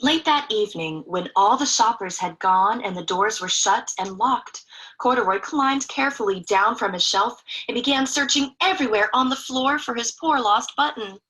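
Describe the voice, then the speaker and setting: A woman's voice: on the high side, normal speed, pushed and bright, low-quality recording. A woman reading a picture book aloud expressively to children, in a chatty way with young listeners. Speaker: children's story reader.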